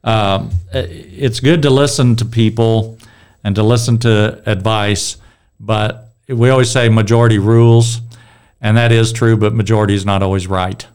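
A man's voice: lowish voice, steady tone, moderate pace, darkish tone, smooth. A middle-aged man with a strong voice leading a casual Bible discussion, rambling and restarting his sentences. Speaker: Bible study leader.

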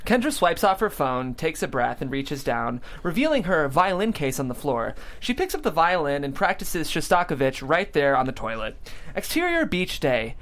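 A script narrator with a mid-pitched voice and lively movement in it. The reading is scripted stage directions for a comedy table read. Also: normal speed.

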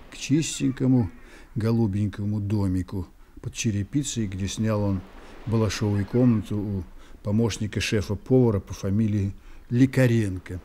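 A man narrating a radio play in scripted literary prose, with measured, dramatic phrasing. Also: on the low side, dark tone, a little hiss or hum.

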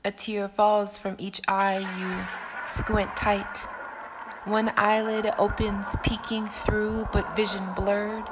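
A woman narrating a poetic audio drama as a scripted piece, with dramatic, deliberate phrasing and pauses. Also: mid-range voice, flat delivery, heavy background noise. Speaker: poet and narrator.

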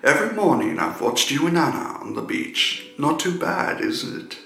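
A man playing a flirtatious, persistent gentleman suitor with a theatrical, wooing delivery in an audio drama. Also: fairly high pitch, very animated, normal speed, creaky, faint music behind, full character voice. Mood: smitten, wooing. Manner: wooing, affected. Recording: echoey room.

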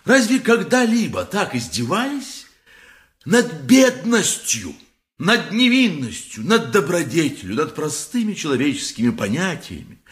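An actor playing an impassioned, indignant lawyer in an ancient court, declaiming pompously in a theatrical radio play style. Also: male voice, high-pitched, a bit bright, full character voice. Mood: pompous indignation.

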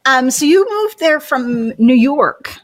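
A woman's voice: sits high, lively intonation, slow pace. A woman hosting an interview podcast, asking questions in a friendly, chatty way with frequent "like" and "um". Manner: friendly, chatty, uses "like" and "um" often. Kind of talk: casual interview chat.

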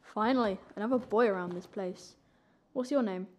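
A woman's voice: medium pitch, moderate pace, a little mellow, lightly acted. A female-sounding performer playing a cocky young orphan boy pickpocket in a wartime drama, brash in manner. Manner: brash, boastful.

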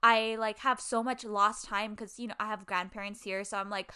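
A woman in her twenties from Hawaii, telling a personal story in casual chat, full of "like" and animated asides. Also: medium pitch, moderate pace, clear, resonant tone. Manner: chatty, heavy use of "like", animated storytelling. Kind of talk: casual conversation. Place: video call.

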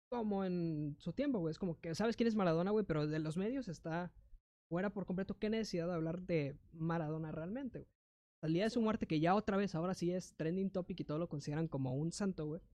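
A young adult with a Mexican accent talks in a loose, slangy way, full of fillers and restarts. The pitch is medium and the recording is dry and close. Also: dark tone, no echo, muffled recording. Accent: Mexican Spanish. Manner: slangy and rambling, filler words, frequent restarts. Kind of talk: casual chat.

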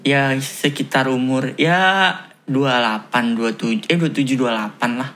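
A young man in a casual podcast chat, measured and laid-back. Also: medium pitch, fairly bright tone. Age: young adult.

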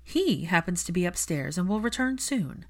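A woman voicing an earnest young female healer in a story, calm but persistent, reasoning in an insistent way. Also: lowish voice, moderate pace. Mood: firm.

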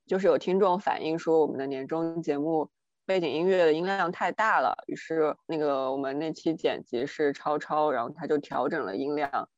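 A woman's voice: low-pitched, darkish tone, no echo. A woman co-hosting a podcast, telling personal anecdotes in a casual, exasperated, rambling way. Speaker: podcast co-host. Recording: dry, slightly dull lo-fi audio.

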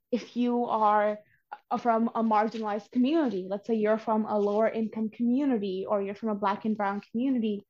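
A young woman in her twenties from Bangladesh, a climate organizer explaining her views earnestly as a podcast guest. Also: a little high. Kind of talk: podcast interview. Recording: dull lo-fi audio.

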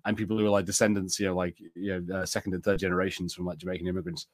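A man's voice: low voice, level tone, very fast, dry recording. A man with an English accent co-hosting a podcast, talking casually in a film and TV review discussion. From England. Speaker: podcast co-host.

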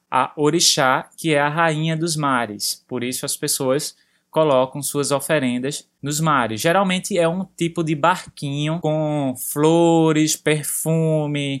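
A man with a Brazilian accent presenting a segment on a language-learning podcast, explaining terms slowly for learners. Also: fairly high pitch. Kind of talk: podcast lesson narration.

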